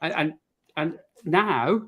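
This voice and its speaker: Animated West Midlands man, higher-pitched. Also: slow pace, husky. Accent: West Midlands English. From West Midlands, England. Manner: animated, rambling, laughs often. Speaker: guest. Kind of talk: casual conversation.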